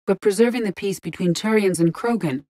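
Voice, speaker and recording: An actress playing a composed, analytical female artificial intelligence in a video game, with precise, formal, measured diction. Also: mid-range voice, dark tone, natural voice. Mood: calm, analytical. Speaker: game character, squad companion. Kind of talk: video game voice lines, sci-fi acting.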